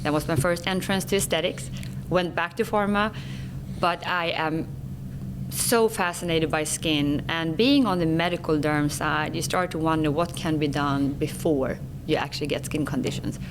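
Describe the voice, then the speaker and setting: A woman's voice: sits low, normal speed, background noise. Swedish-accented woman, earnest and explanatory. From Sweden. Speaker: guest, company executive. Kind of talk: podcast interview.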